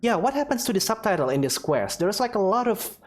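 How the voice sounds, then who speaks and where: A woman's voice: medium pitch, sing-song, a little fast, a little mellow. A woman giving casual gameplay commentary as a game streamer.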